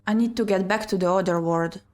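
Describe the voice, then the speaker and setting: A woman streamer reading a visual novel aloud in an expressive, theatrical way. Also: mid-range voice, a little fast. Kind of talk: dramatic read-aloud narration. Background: game music.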